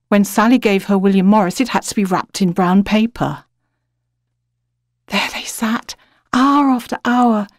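A woman with a British accent giving an expressive, reflective dramatic reading of literary fiction as a narrator. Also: medium pitch, no echo, clean studio sound.

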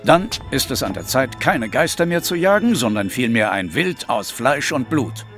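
An actor playing an earnest, dutiful police inspector in a German audio drama, formal and matter-of-fact. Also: male voice, mid-range voice, sing-song, moderate pace, music in the background.

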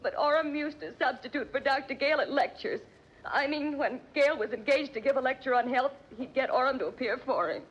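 An actress playing a distraught, pleading wife accused of murder, in flustered 1940s radio acting on a muffled old recording. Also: female voice, high voice, brisk, husky. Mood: pleading, anxious. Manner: pleading, flustered, breathless protests. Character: distraught wife accused of killing her husband.

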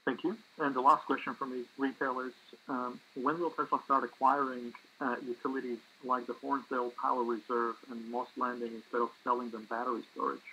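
A man's voice: mid-range voice, little pitch movement, a little fast, few pauses, bright, forceful tone. A man moderating an earnings call, reading out submitted questions. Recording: muffled, noisy conference call audio.

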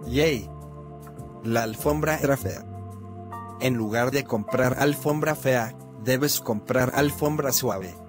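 A man voicing a bratty bald cartoon boy who mocks grown-ups, with a flat, sneering delivery over music. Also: medium pitch, takes it slowly, lightly acted. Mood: smug. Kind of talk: fan-made cartoon dub.

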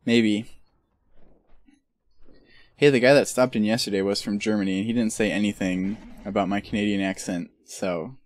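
A man with a lowish voice talks through a live game stream, close and dry at a home desk mic. He rambles in loose, joking asides and chats easily as he plays. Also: fairly even intonation, moderate pace, long pauses, no room sound. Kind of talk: casual chat while gaming.

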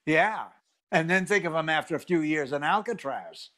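A man's voice: a little high, expressive, normal speed, a bit bright. Man acting a doubting radio-comedy friend. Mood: wry, gloomy. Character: gloomy, doubting family friend.

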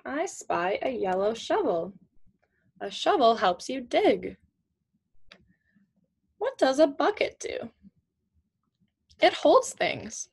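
A woman's voice: on the high side, big pitch swings, slow, creaky, pauses often, a little mellow, breathy edge. A woman in her twenties reading a picture book aloud to children in simple, teacherly phrases with questions. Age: young adult, 20s. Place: small indoor room at home with shelves.

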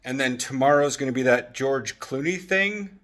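A man in his thirties chatting casually in a vlog with an animated, jokey manner, recording on a phone at arm's length in an apartment. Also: medium pitch, moderate pace. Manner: animated, jokey, acts out quotes. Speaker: vlogger. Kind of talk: casual rambling vlog chat. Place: open-plan apartment living room and kitchen.

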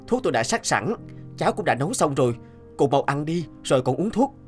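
A man voicing a brave, gentle young hunter in an animated fairy tale, earnest and protective. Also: a little high, fairly quick. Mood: caring, earnest. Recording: music behind the voice.

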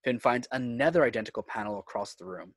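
A man's voice: medium pitch, quick pace, no room sound, studio quality. A man working as narrator and host of a fan script reading. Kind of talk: reading screenplay directions, host outro. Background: music.

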